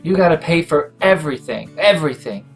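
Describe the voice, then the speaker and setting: A man's voice: fairly high pitch, lively intonation, brisk, soft background music, pitched above the performer's own voice. A man voicing a grieving mother in a tabletop game, accusatory and pleading. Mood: distraught, bitter.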